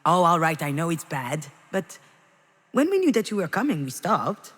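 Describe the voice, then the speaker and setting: Low-voiced grieving mother, in long reverb. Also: sing-song. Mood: defensive, wry. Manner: halting, confessional, addressing someone directly. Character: grieving, guilt-ridden mother confiding in her lost child. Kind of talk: dramatic video game voice acting, intimate monologue.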